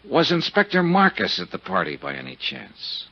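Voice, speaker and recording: An actor playing a tough, wisecracking investigator in 1950s radio detective drama, blunt and sardonic, with a husky voice. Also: male voice, on the high side, huge pitch swings, moderate pace, exaggerated voice. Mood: pressing, sardonic. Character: tough, brash, wisecracking American male investigator. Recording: dull 1950s radio broadcast recording.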